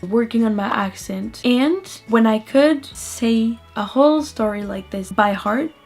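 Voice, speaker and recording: A woman's voice: on the high side, darkish tone. Earnest woman sharing language tips. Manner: earnest, restarts sentences. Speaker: language learner. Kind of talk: casual advice to camera.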